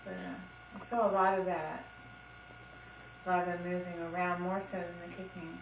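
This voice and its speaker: Young woman, quiet and brief, roomy echo, hissy lo-fi VHS. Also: fairly low pitch, flat delivery, normal speed, far from the mic. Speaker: expectant mother on home video. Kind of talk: casual conversation. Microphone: camcorder mic. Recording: echoey room on lo-fi VHS tape.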